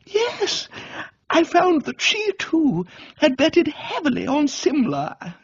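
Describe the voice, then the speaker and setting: A man voicing a brash, excitable middle-aged English aunt, gushing and bossy, in a comic radio book reading. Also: very high voice, slow, dry recording, lo-fi sound, pitched above the performer's own voice, heavily acted. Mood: breathless explaining.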